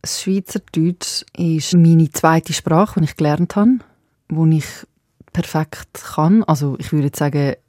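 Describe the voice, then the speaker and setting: A woman's voice: low voice, measured pace, darkish tone, dry recording, clean studio sound. A woman in her late thirties with a Swiss German accent, a native Serbo-Croatian speaker, talking thoughtfully about her writing with frequent restarts. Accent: Swiss German, Zurich/Winterthur. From Switzerland. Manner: thoughtful, self-questioning with restarts. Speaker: author interviewed. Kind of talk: reflective casual talk.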